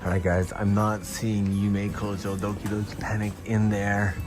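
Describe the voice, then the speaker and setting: A man's voice: lowish voice, completely flat delivery, moderate pace, over music. A man live-streaming as he walks through shops and streets, chatting and exclaiming with enthusiasm. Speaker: streamer vlogging around Tokyo. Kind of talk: live-stream walking vlog. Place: shop in Tokyo, then outdoors on a street. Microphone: handheld camera on gimbal.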